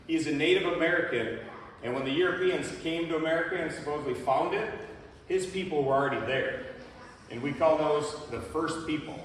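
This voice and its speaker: A man tells folksy stories to a school audience, his voice slightly raised in pitch. He sounds far from the mic in a big echoing hall. A faint hiss sits underneath. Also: fairly quick. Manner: folksy, storytelling. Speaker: presenter introducing a biker group. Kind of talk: casual school presentation.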